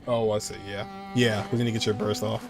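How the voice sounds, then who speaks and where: Casual, low-pitched male game streamer. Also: little pitch movement, fast talker, gravelly, music in the background. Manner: rambling, repeats phrases, reads and answers chat. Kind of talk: casual live stream chat and game commentary.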